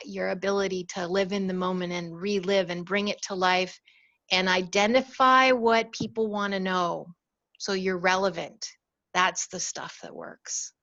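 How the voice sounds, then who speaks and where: A woman presents a storytelling webinar over a video call, at a middle pitch. She is friendly and direct, stumbling now and then, and the audio sounds a little muffled. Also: fairly bright tone. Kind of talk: casual online webinar talk.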